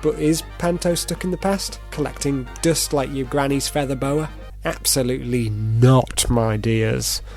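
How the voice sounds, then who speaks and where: A man's voice: medium pitch, fairly even intonation, unhurried, a little mellow, faint music behind. A man narrating a video essay in a playful, theatrical manner, with informal asides. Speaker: presenter.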